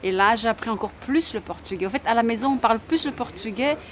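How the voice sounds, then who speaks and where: A woman whose first language is Italian, calmly explaining her shop in a documentary interview, repeating phrases as she goes. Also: on the high side, quick pace. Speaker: shop owner.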